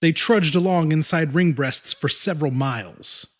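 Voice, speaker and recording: A man's voice: fairly high pitch, moderate pace. A man narrating a fiction audiobook in a dramatic, scripted storytelling style.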